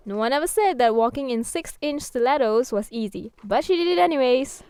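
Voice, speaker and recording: A woman's voice: sits high, expressive, fairly quick, darkish tone, clean tone, no room sound. A woman co-hosting a radio show, joining the news and quiz banter in a light, playful way. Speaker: radio co-host.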